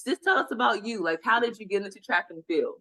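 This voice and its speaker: Woman podcast host, bright tense tone, enthusiastic, mid pitch. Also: animated, quick pace, low-quality recording. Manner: enthusiastic, encouraging. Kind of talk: upbeat podcast hosting.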